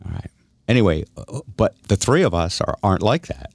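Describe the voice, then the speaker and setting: A man's voice: lowish voice, very animated, brisk, vocal fry, a little mellow, slightly rough, no echo. A man hosting a casual radio chat, telling stories and needling his co-hosts with probing questions. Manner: teasing, probing. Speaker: radio show host.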